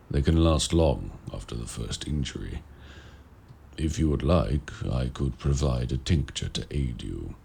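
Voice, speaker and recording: A man playing a mysterious, courteous warlock of the woods in an audio roleplay, speaking in formal, archaic, measured phrases with a gravelly voice. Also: very deep voice, a little mellow, a little hiss or hum, heavily acted. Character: mysterious, courteous forest-dwelling male warlock. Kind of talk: audio roleplay acting, addressing the listener.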